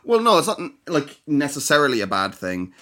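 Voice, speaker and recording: A young man co-hosting a podcast, explaining at length and carefully, with frequent pauses and restarts. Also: fairly high pitch, huge pitch swings, pushed and bright. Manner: hesitant, many ums and restarts, explains carefully. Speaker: podcast co-host. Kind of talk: casual chat.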